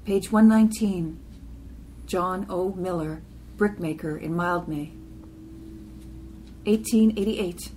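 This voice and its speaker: A woman's voice: on the low side, slow, pauses often, a little mellow, slight background noise. A woman giving a scripted poetry reading in short, separate phrases.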